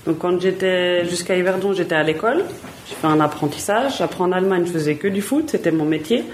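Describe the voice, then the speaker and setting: A woman's voice: fairly low pitch, brisk, slight background noise. A woman, a footballer answering children's questions plainly and in a friendly way, often repeating words as she thinks. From Switzerland. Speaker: footballer guest.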